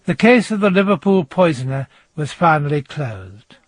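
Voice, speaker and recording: A man presenting a scripted true-crime radio programme, narrating in a measured storytelling manner. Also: on the high side, big pitch swings, dry recording.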